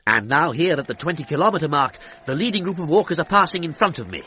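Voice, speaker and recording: Bright, high-pitched 1930s British commentator. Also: male voice, big pitch swings, fast talker. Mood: brisk. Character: excitable 1930s male British sports commentator. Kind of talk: 1930s radio race commentary, acted. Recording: dull noisy vintage-style radio broadcast.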